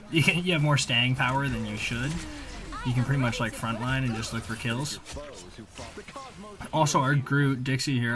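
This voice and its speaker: A man giving casual, rambling voice-over commentary on his own video game play, using informal gamer slang. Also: medium pitch.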